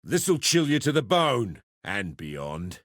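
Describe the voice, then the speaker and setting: A man playing a cocky, menacing arena brawler in a video game, gravelly and taunting in short punchy barks. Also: medium pitch, sing-song, slow pace, a bit bright, dry recording, clean studio sound, exaggerated voice. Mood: menacing relish.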